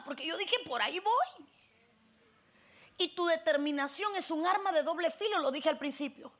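A woman pastor with a Cuban Spanish accent delivers a sermon in a high voice. She calls on the congregation for responses and climbs into emphatic bursts. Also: a bit bright. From Cuba.

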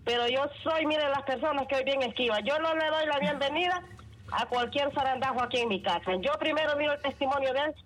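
Phone caller, high voice, earnest and preachy. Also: male voice, fairly quick, a bit bright, slight background noise, poor audio. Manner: earnest, moralizing, rambling. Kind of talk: call-in opinion.

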